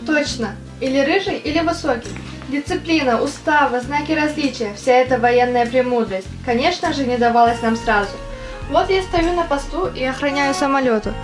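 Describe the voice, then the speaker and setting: A woman playing an earnest, excitable young army sentry in an amateur audio play. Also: high voice, lightly acted.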